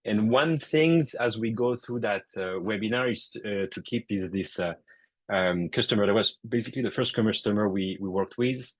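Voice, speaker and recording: Low-voiced man with a French accent. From France. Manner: halting, with frequent "uh" and "um" fillers and restarts. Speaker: guest presenter. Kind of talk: technical webinar presentation.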